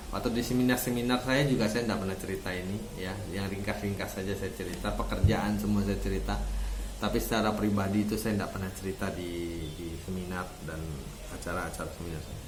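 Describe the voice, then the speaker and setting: Middle-aged man, echoey room. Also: lowish voice. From Indonesia. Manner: reflective, repeats phrases, often ends with tag words. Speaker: vlogger and seminar speaker. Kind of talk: casual personal storytelling to camera. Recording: roomy echo, faint background noise.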